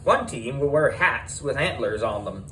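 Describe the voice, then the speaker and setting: A man's voice: mid-range voice, lively intonation, a little slow, bright, forceful tone, a little hiss or hum, low-quality recording. A man voicing a brash, pushy female TV producer with emphatic showbiz hype in a children's audiobook. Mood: scheming, upbeat.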